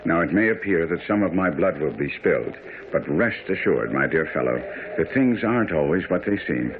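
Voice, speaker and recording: An actor playing a confident, sharp-witted gentleman detective in a radio drama, speaking in a formal, measured, explanatory way. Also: low voice, animated. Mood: confident, urgent. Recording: muffled, noisy vintage radio broadcast.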